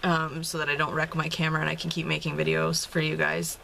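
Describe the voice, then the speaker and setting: A woman's voice: sits low, monotone, fast talker, creaky, bright, forceful tone, a little hiss or hum. A young woman in her twenties chatting casually to the camera from a parked car, upbeat and rambling, with lots of ums and little laughs. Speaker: fitness vlogger. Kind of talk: casual vlog talk to camera. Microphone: camera held at arm's length. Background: rain outside.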